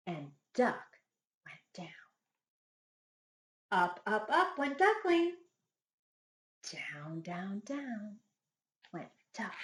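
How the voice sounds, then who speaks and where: A woman reads a children's storybook aloud in an echoey room. Her delivery is dramatic and very animated, phrased deliberately for young listeners in the manner of a primary school teacher. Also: mid-range voice. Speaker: first grade teacher reading a picture book.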